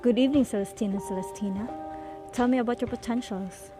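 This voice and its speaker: A woman's voice: fairly high pitch, fast, natural voice. A woman playing a composed investigator in an amateur radio drama, asking formal, probing questions. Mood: formal, brisk. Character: composed female investigator questioning gifted students. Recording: music behind the voice.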